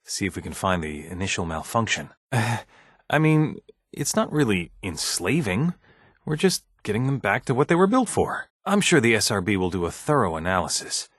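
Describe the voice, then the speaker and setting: Game role: mild bureaucrat scientist, man, lowish husky voice, courteous, hesitant, slightly uneasy office professional. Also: sing-song, no room sound, clean studio sound. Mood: awkward, matter-of-fact. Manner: hesitant, deferential, measured office-professional speech. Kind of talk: video game dialogue acting.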